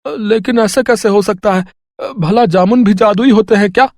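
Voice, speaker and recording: A man's voice: high voice, moderate pace, darkish tone, no echo, clean studio sound. A man playing an honest, humble village berry seller in a storybook tale, speaking eagerly and respectfully. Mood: amazed. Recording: music under the voice.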